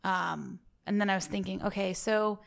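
Eager young woman with a gravelly edge. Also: mid-range voice, normal speed. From American South. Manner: eager, earnest, self-deprecating. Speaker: co-host applicant. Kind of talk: comedic interview banter. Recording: echoey unfinished studio.

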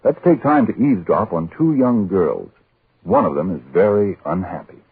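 An actor playing a grave, sinister storyteller who hosts and narrates 1940s radio horror in a slow, solemn way. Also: male voice, low voice, expressive, creaky, husky, no echo, exaggerated voice. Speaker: host and narrator. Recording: muffled, narrowband 1940s radio recording.

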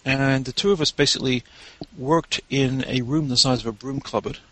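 A man's voice: medium pitch, moderate pace, no echo, poor audio. Wry English man telling stories. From England. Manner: relaxed, wry storytelling with many fillers. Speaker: guest author. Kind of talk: casual interview chat.

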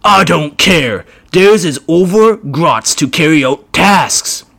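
A hulking, short-tempered orc warlord, put on by a man reading a story aloud. He drawls his crude slang slowly and loudly, with a gruff, swaggering bark. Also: on the high side, lively intonation, pitched above the performer's own voice, exaggerated voice. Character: huge angry brutish male orc boss.

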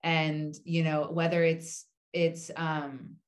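A woman of about thirty with a notably low voice leads a panel in a casual, earnest way. She stutters lightly, catching on first sounds before going on. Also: little pitch movement, slow. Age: around 30. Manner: person who stutters, with part-word repeats. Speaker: moderator.

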